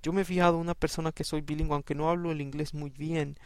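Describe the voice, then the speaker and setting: A man hosting an informal Bible podcast, talking in a halting, conversational way with many restarts and then reading scripture aloud. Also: on the high side, little pitch movement, clear, resonant tone, no room sound. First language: Spanish.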